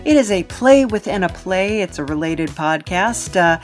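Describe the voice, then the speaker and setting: Low-voiced woman, casual podcast host. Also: lively intonation, moderate pace, faint music behind.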